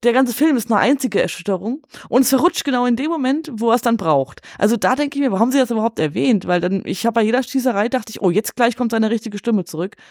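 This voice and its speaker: A woman talks casually on a film podcast at a brisk pace. Her wording is colloquial and blunt, and the recording is dry, with very little room sound. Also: fairly high pitch, lively intonation, darkish tone, no room sound. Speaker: podcast guest.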